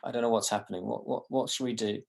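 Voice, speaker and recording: A man, answering questions after a lecture in a relaxed, unhurried way. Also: on the low side, gravelly. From London. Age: 50s. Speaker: artist giving a lecture.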